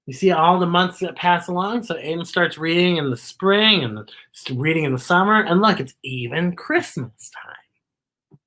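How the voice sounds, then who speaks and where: A children's story-time host reading a picture book aloud with expressive, exaggerated delivery and chatty asides to young listeners. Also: mid-range voice, moderate pace.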